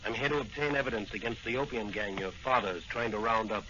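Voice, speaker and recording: Guy playing a gallant masked radio hero, lowish smooth confident voice, dull hissy old recording. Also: fairly even intonation, fast, close to an everyday voice. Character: suave, confident masked crime-fighting hero, gallant and theatrical. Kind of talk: 1940s radio serial acting. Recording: noisy, band-limited old radio recording.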